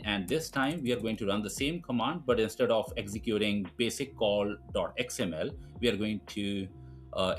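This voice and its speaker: A man with a fairly low voice walks through a technical tutorial. He explains step by step in an informal way, with music behind him. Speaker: tutorial presenter. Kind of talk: screen-recorded software tutorial, explanatory.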